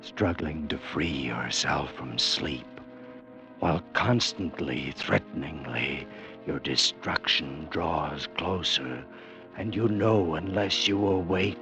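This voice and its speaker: A man announcing a vintage radio suspense show, with an ominous, hushed, theatrical delivery. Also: rumbling low, slow. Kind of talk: dramatic radio show opening. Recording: dull old radio broadcast with music.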